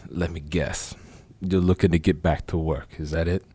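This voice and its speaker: A man playing a gruff, wary ex-crook. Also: sits low, fairly even intonation, moderate pace, mellow, no room sound. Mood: weary. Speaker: retired crook. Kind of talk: amateur audio drama acting.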